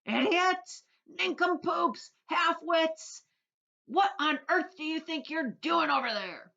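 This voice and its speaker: A brash, blustering centipede from a storybook, as a woman reading aloud puts him on. He talks loudly and mockingly, in a lively voice that swings up and down. The recording sounds dull and lo-fi. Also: sits low, normal speed, exaggerated voice. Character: brash, boastful, rude centipede.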